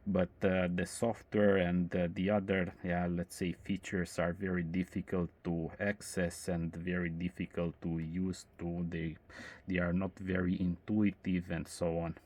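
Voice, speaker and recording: A man talking casually as an amateur radio operator, explaining his points in measured, hesitant phrases. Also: sits low, flat delivery, a little mellow. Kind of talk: casual radio contact chat.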